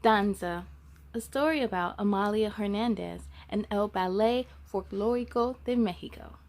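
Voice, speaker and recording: A woman hosting a storytime, reading a picture book aloud to children in an animated way and speaking directly to young listeners. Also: mid-range voice. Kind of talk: children's read-aloud with asides.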